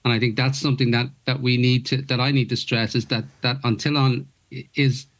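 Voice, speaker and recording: A man's voice: medium pitch, flat delivery, brisk, fairly bright tone. An Irish man whose first language is Irish, an actor guest in a radio interview, talking earnestly with many stops and restarts. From Ireland.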